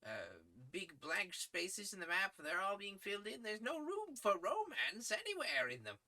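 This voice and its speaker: A man voicing a kindly, fussy old male news editor who talks slowly and hesitantly with tuts. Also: high-pitched, wildly swooping pitch, pushed and bright, pitched above the performer's own voice, exaggerated voice. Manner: slow, hesitant, tutting.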